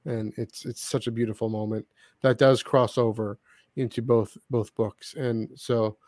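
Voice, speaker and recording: A man hosting a podcast in casual conversation, talking in a halting, rambling way and often repeating his words. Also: medium pitch, flat delivery, darkish tone, no room sound, clean studio sound. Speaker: podcast host. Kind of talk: casual chat, discussing books and comics.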